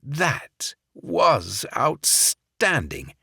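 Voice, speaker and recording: A man voicing an undercover businessman who puts on a fake English accent, smooth and nervously ingratiating. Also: on the high side, big pitch swings, very slow, pushed and bright, dry recording, clean studio sound, voice pushed up, full character voice.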